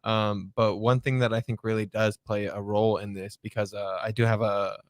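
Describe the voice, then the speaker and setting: A man in a casual group chat, telling stories in a relaxed way with fillers. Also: on the low side, normal speed, no room sound, clean studio sound.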